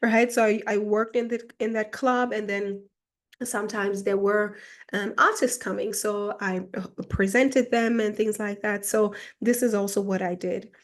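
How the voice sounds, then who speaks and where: A woman's voice: mid-range voice, fairly even intonation, a little slow. Woman from Germany, casual vlog mentor, chatty and reflective, mid-height voice, heavy on "like". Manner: chatty, reflective, fills speech with "like". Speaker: personal mentor. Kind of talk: casual personal vlog monologue.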